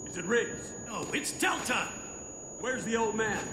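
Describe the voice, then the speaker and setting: An actor playing a hostile, agitated soldier in a video game, shouting urgently. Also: male voice, high voice, animated, a little slow. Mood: alarmed. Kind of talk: video game battle barks. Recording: reverberant, noisy battle with gunfire.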